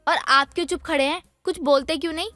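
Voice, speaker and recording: A woman's voice: sits high, fairly even intonation, fast talker, pushed and bright, no room sound, faint music behind. A woman playing a young daughter-in-law in a dramatized moral story, dutiful yet defensive, with an earnest, explanatory way of talking. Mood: defensive, indignant.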